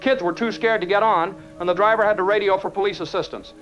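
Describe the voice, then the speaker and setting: A man's voice: high voice, steady tone, fast, lightly acted. An actor playing an alarmed, cautious radio operator in an audio drama, urgent and earnest as he warns others. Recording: dull radio sound, music and rain.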